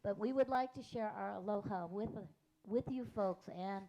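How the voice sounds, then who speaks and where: A woman's voice: medium pitch, moderate pace, background noise. A woman from a senior center jam band introducing songs at a memorial gathering, friendly and lightly joking.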